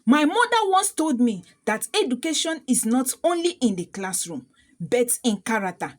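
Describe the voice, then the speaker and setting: A woman voicing a respectful, admiring young male employee in a story, speaking quietly and earnestly. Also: fairly high pitch, big pitch swings, normal speed. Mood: quiet admiration.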